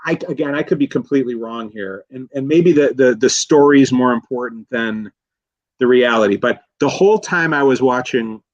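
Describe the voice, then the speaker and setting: A man in a casual film discussion as a guest expert, speaking in a halting, rambling way and often correcting himself. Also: mid-range voice, no echo, highs cut off. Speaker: guest expert, college professor.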